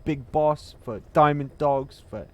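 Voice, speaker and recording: A man's voice: on the high side, takes it slowly, darkish tone. A man giving casual livestream game commentary, emphatic and repeating himself as he thinks aloud. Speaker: game streamer.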